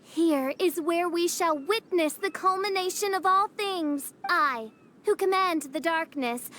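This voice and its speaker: A woman's voice: very high-pitched, a little slow, a bit bright. A woman voicing a theatrical teenage girl in an anime fantasy game, with a grandiose, archaic, dramatic delivery. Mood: solemn, grand. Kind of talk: anime video game acting.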